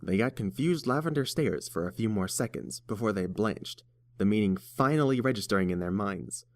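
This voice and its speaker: A man's voice: on the low side, expressive, normal speed, dry recording. A man reading scripted story narration as the narrator.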